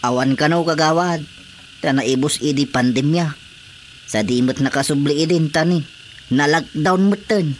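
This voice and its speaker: An actor playing a resigned, hard-up village farmer in a radio drama, with an Ilocano Filipino accent. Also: male voice, on the high side, normal speed, a little hiss or hum, close to an everyday voice. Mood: worried.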